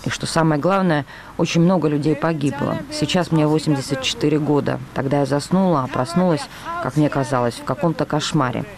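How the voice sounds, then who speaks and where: A man calmly reading a translated news voice-over. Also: fairly high pitch, fairly quick, a crowd in the background.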